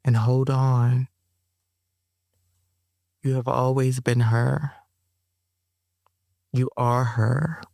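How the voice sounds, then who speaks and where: A woman's voice: rumbling low, flat delivery, very slow, gravelly, pauses often, warm tone, no room sound, clean studio sound. A woman author and performer answering interview questions in a loose, rambling way, full of ums and restarts, with a playful streak. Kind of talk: casual interview talk.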